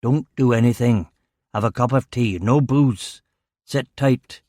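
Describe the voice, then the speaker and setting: A man's voice: medium pitch, unhurried, darkish tone, no room sound, studio quality, natural voice. A man voicing a grave, worried chief inspector in an audiobook, speaking tersely and with caution. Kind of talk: audiobook character dialogue.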